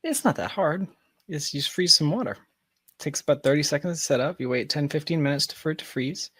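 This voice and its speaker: A man chatting casually as a podcast guest, telling anecdotes in an animated manner over a close mic. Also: on the high side, fairly quick, breathy edge, no echo.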